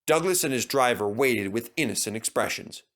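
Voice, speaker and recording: A man's voice: mid-range voice, lively intonation, fairly quick, fairly bright tone. A man narrating a scripted children's story.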